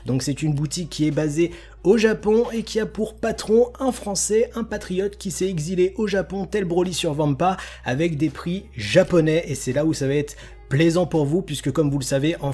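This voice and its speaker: A man with a husky, fairly high voice presents products casually over faint music. His delivery is enthusiastic and slangy, dotted with jokey asides. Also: mellow. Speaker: host of a figurine unboxing video.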